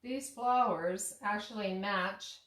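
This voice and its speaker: A woman talks her way through making lunch in an echoey home kitchen, circling back on herself with ums and restarts. Her voice sits in a middle range and is a touch hoarse. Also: medium pitch, takes it slowly, a bit bright. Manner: rambling, many restarts and fillers; laughs at herself. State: slightly hoarse, losing voice. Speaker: tea shop owner and cooking vlogger. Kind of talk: casual kitchen demo chat.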